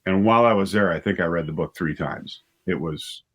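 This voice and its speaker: A middle-aged man with a fairly low voice, speaking as an interview guest. He tells his story conversationally, doubling back on words and correcting himself as he goes. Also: husky. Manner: halting, with repeated words and self-corrections. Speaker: writer and director, interview guest.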